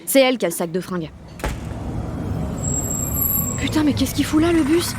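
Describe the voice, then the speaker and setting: A woman's voice: high voice, very animated, moderate pace, background noise. An actress with a French accent playing a cocky sixteen-year-old girl who narrates her day in slangy, sweary audio fiction. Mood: brash, excited. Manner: slangy, sweary, punchy inner monologue. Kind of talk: first-person audio fiction monologue.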